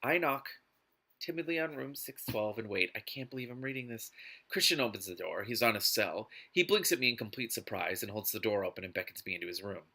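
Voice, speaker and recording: A man's voice: on the low side, lively intonation, a little fast, pushed and bright. A man reading a book aloud and breaking in with emphatic, exasperated commentary. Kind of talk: scripted reading broken by indignant commentary.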